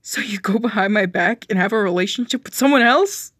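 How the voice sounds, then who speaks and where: A woman's voice: mid-range voice, fairly quick, fairly bright tone, slightly rough, dry recording, clean studio sound. Woman playing a heartbroken, accusing young man. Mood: hurt, accusing. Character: heartbroken, betrayed young man confronting his cheating boyfriend.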